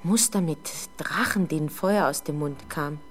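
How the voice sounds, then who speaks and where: A young woman playing a frightened, defensive teenage girl under police questioning in a 1970s German radio drama, halting and easily exasperated. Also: sits low, slight background noise. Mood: haunted, agitated. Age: young adult. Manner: hesitant, halting, exasperated when doubted.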